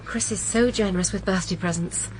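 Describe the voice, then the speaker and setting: A woman's voice: medium pitch, brisk, slight background noise, natural voice, rough recording. An actress playing a middle-aged, middle-class English mother in a radio drama, earnest, devoted and complaining in a genteel way.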